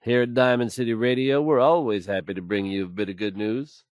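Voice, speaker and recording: An actor playing a confident, smooth-talking radio DJ in a game, delivering fluent and assured announcements. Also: male voice, medium pitch, fairly even intonation, fairly quick, no echo, clean studio sound, close to an everyday voice. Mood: upbeat.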